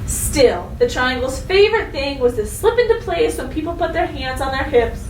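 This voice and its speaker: A woman teacher reading a story and giving a lesson to children, expressive and instructive, speaking to them directly. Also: high-pitched, normal speed. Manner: expressive, instructive, addresses young listeners directly. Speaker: elementary school teacher. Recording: roomy echo, distant mic, faint noise.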